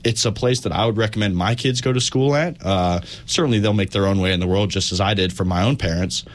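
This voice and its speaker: A man's voice: fairly low pitch, quick pace. A young man in his twenties, a student body president on a radio interview, speaking with enthusiasm.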